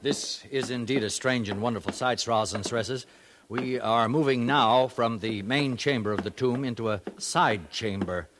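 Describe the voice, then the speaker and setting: A man's voice: medium pitch, moderate pace, noisy background, lightly acted. A man playing an earnest broadcast reporter of the far future in a 1950s radio drama. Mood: awed, hushed. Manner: formal, portentous.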